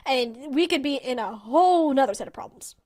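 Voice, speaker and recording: A woman's voice: sits high, expressive, brisk, darkish tone. A woman giving live esports commentary and casual game analysis, opinionated and colloquial in how she talks.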